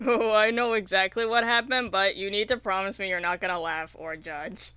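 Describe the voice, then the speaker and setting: A young woman around twenty chatting casually as an interview guest, telling stories in an animated, slangy way with dramatic emphasis. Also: medium pitch, normal speed, clear, resonant tone, dry recording. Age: late teens to about twenty. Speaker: podcast guest, indie visual novel developer. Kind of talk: casual enthusiastic interview chat.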